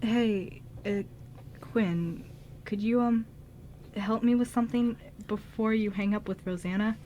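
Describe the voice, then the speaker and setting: A woman's voice: medium pitch, steady tone, a little slow, warm tone, close to an everyday voice. Girl doing nervous ghost dude, stammery n rambly, kind, amateur radio play, slight hum. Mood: hesitant, pleading. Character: flustered, kind-hearted male ghost, a loyal friend. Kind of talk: amateur radio drama script reading.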